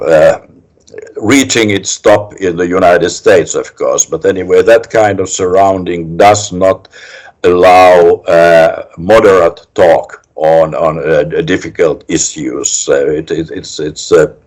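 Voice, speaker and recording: An older man with a Finnish accent, a criminology professor answering interview questions in a halting way, with many restarts and fillers. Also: sits low, a little mellow. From Finland.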